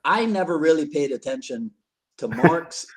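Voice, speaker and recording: A man from Barbados, hosting a casual live-stream chat, jokey and quick to laugh, often repeating words as he goes. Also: a little high, animated, poor audio. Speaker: podcast host.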